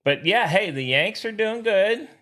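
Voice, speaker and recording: A man co-hosting a sports podcast, chatting casually in an animated, slangy fan's way. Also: sits high, measured pace. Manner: animated, slangy fan talk.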